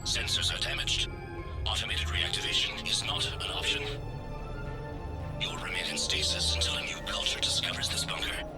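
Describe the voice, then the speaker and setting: An actor playing a calm, dutiful alien machine intelligence in a video game, formal, procedural and detached. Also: male voice, on the high side, normal speed, lightly acted. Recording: loud music behind the voice.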